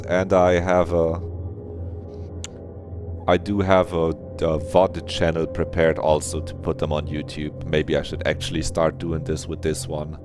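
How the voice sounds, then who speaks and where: A man hosting a livestream, chatting casually and rambling easily to his viewers. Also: low-pitched. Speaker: livestreamer and host. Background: background game music.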